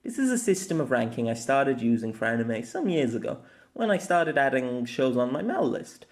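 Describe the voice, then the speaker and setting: Man narrating with lively, swooping intonation. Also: mid-range voice, moderate pace. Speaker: host of an anime audio essay. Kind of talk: scripted narration, opinion essay.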